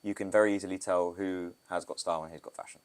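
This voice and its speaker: British man, very low voice, casual rambling podcast guest, photographer. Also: steady tone, fast talker. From Britain. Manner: loose, rambling, with restarts and asides. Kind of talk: casual interview talk.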